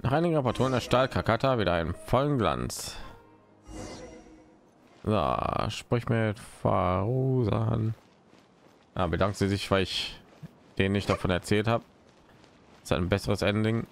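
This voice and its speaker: A man's voice: lowish voice, normal speed, gravelly, pauses often, soft background music. A man giving casual live commentary as he streams a game.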